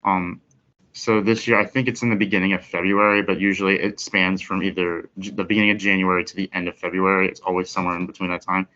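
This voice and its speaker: Teen boy student; deep, crisp-toned, matter-of-fact, lo-fi sound. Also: flat delivery, fast talker, pushed and bright. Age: teens. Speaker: student guest. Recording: muffled lo-fi recording.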